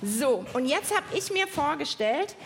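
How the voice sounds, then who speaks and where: A woman's voice: sits high, big pitch swings, a little slow. A woman co-hosting a children's science show in front of a live audience, giving simple, encouraging instructions. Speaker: co-host of a children's science podcast. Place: live stage at a podcast festival. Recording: clear background noise, live event.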